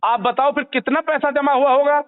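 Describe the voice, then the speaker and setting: A man's voice: very high-pitched, steady tone, a little fast. A man speaking insistently and moralising in a confrontational phone conversation, holding the floor. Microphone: phone line. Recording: narrow tinny phone call recording.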